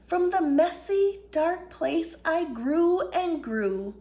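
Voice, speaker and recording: A woman's voice: very high voice, lively intonation, slow, dark tone, full character voice. A woman voicing a tiny, timid, earnest little seed in a children's storybook, speaking in plaintive, childlike phrases. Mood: wondering, grateful.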